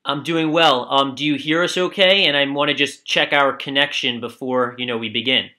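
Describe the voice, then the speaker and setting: A man's voice: medium pitch, steady tone, normal speed, sharp tone. A man moderating an online discussion in a friendly, casual, upbeat way. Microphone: video call.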